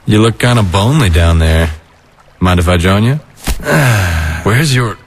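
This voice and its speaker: A man in an animated comic dub playing a weary, laid-back adult skeleton, brooding yet kind, with a low-key, reflective drawl. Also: unhurried, husky, heavily acted. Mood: weary, guarded.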